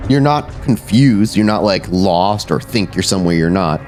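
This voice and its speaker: A man working as game master, improvising suspenseful, deliberate narration for a horror tabletop roleplaying game. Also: low-pitched, animated, a little mellow. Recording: music behind the voice. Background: background music.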